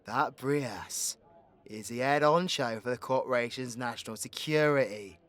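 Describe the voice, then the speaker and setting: A man's voice: mid-range voice, a little slow, fairly bright tone, natural voice. A British man playing a foul-mouthed, hot-tempered supervillain antihero in an audio drama, with a brash, sarcastic, slangy delivery. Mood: cocky, scheming. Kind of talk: audio roleplay voice acting.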